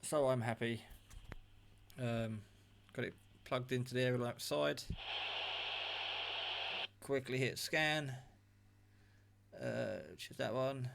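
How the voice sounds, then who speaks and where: A man's voice: medium pitch, fairly even intonation, takes it slowly, creaky, pauses often, a little hiss or hum. Chatty man testing gadgets. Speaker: radio hobbyist demonstrating equipment. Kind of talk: casual hands-on gadget test narration. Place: indoors at a table at home. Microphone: handheld phone camera, operator off screen.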